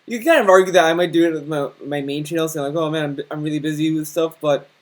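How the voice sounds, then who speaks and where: A man's voice: fairly high pitch, fast talker. A young man with a slightly raised voice rambles through a casual commentary rant. He keeps restarting his sentences and takes a mocking tone toward what he's reacting to. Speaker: commentary channel host.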